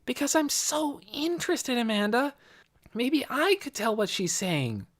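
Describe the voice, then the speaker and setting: An earnest, curious young girl as an audiobook narrator plays her, pitched well up high. The delivery is polite and eager, lilting through questions. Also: sing-song, measured pace. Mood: pleading, eager. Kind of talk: audiobook character voice.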